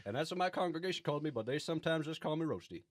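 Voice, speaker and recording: A man's voice: a little high, animated, no room sound. Man playing a pompous, touchy reverend, dry sound. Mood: proud, touchy. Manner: indignant, halting, self-important. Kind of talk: mock talk show interview, improvised comedy.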